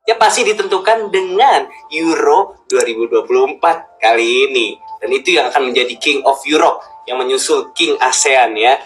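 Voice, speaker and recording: A man's voice: mid-range voice, expressive, moderate pace, a bit bright, soft background music, rough recording. A man, hosting casual, slangy, exclamatory football fan commentary.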